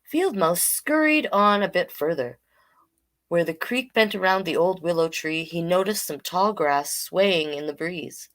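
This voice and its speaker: A woman's voice: fairly low pitch, expressive, a little slow, fairly bright tone. A woman hosting a storytime, reading picture books aloud in an informal way with chatty asides. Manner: informal, interjects comments while reading. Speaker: drag king storyteller, host.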